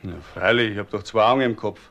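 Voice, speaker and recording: An actor with a Bavarian accent playing a chatty, self-assured musician giving testimony in a folksy way in a crime radio drama. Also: male voice, on the low side, fast talker, a bit bright. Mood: chatty, knowing. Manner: folksy, colloquial. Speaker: witness, musician.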